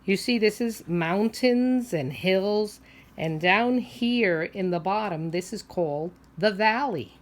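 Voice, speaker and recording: A woman's voice: mid-range voice, slow pace. A woman preschool teacher reading a picture book aloud to young children, explaining simply, asking questions and drawing out key words.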